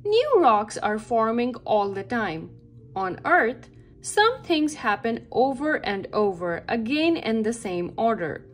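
A woman reads aloud for young children in a fairly high voice, with music playing behind her. Her pitch moves up and down in a lively way as she phrases each part carefully and expressively. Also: slow. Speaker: read-aloud narrator for children. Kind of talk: children's picture book read-aloud. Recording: background music under the voice.

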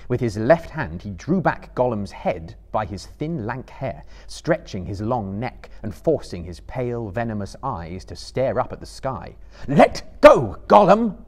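A man in his thirties giving a theatrical, deliberate dramatic narration of a novel as a storyteller. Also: on the low side, expressive, darkish tone. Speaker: storyteller, reader. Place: seated in a book-lined room before a live audience.

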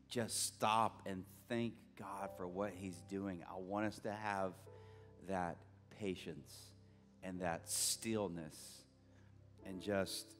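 Pastor guy preaching on stage, lowish voice, big hall echo, soft music under it. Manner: earnest, building to emphatic bursts. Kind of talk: conversational sermon. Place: large church stage. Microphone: handheld mic. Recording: long reverb of a church hall, faint music.

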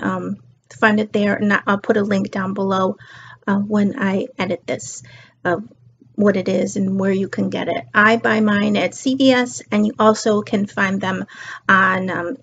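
A tired middle-aged woman with a slightly raspy voice, chatting casually on her couch into a webcam and drifting between topics. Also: mid-range voice, a little hiss or hum. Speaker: beauty and lifestyle vlogger. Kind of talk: casual chat to camera. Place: living room couch, indoors. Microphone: built-in webcam mic. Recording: dull lo-fi webcam audio.

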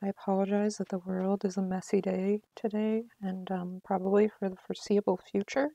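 A woman's voice: medium pitch, little pitch movement, brisk, vocal fry, mellow, no room sound. A woman giving casual, chatty commentary on a live game stream, talking to herself with mock exasperation.

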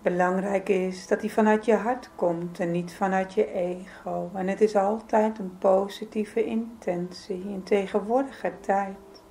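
A woman leading a guided yoga nidra meditation in a soothing, gentle, unhurried manner. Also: medium pitch, fairly even intonation, gravelly, a little hiss or hum, low-quality recording. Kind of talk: guided meditation, calm spoken instruction.

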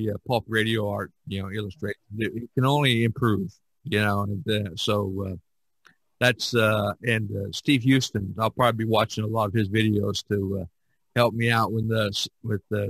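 A man hosting a casual podcast chat, speaking haltingly and often repeating his words. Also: fairly low pitch, fairly bright tone, no echo, rough recording. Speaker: podcast host.

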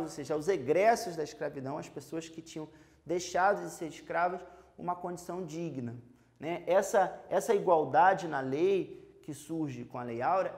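Young man, Brazilian accent, history teacher, fairly high voice, lapel mic, explanatory classroom tone. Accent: Brazilian Portuguese. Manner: explanatory, addresses students directly, frequent fillers; walks and gestures while talking. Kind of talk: televised school lesson. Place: studio in front of a chalkboard.

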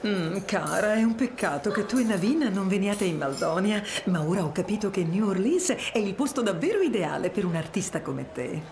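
A gracious older queen acted by a woman, with a medium-pitched, very lively voice. She is a doting cartoon mother, heard over clear background ambience. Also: moderate pace, few pauses, a little hiss or hum, natural voice. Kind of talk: animated game dub.

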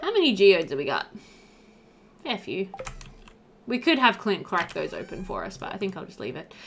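A woman's voice: medium pitch, wildly swooping pitch, normal speed, slight background noise. A young woman doing casual, chatty let's play commentary, thinking aloud as she plays, indoors at a computer. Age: young adult. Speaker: gaming video host.

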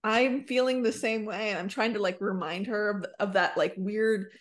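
A woman's voice: mid-range voice, fairly even intonation, normal speed. A woman in her thirties chatting casually as a podcast co-host, retelling conversations in quoted back-and-forth. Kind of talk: casual chat. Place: indoor room on a video call.

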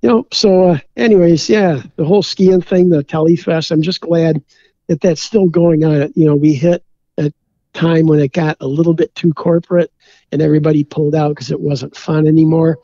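A man's voice: fairly high pitch, fairly even intonation, normal speed, dark tone, no room sound, lo-fi sound. A man from Minnesota, a beer brewer, chatting easygoingly as a podcast guest and filling his talk with "you know". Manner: rambling, easygoing, many "you know" fillers. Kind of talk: casual interview chat.

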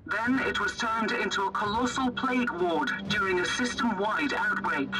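A woman's voice: mid-range voice, moderate pace, loud music behind the voice, natural voice, poor audio. A woman playing a proud, formal noblewoman who captains a ship in a video game, composed and dutiful. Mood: formal, informative.

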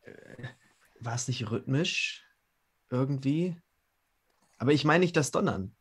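A man of medium pitch improvises in character during a tabletop game. His delivery is questioning, with hesitant turns as he feels out his words. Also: lively intonation, takes it slowly, husky. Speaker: tabletop roleplaying player. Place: indoor home, video call.

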